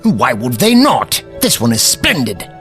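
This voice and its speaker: An actor playing an eccentric, self-assured older time traveller in an audio drama, with pompous, precise, formal diction. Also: male voice, medium pitch, huge pitch swings, measured pace, a bit bright, soft background music. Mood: wry, self-assured.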